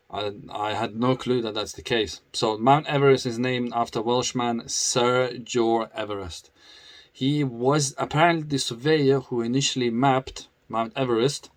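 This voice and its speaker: A man's voice: medium pitch, fairly bright tone, no room sound. A man with a foreign accent who grew up in the UK, chatting casually to camera as a motorcycle vlogger, laughing often and restarting his sentences.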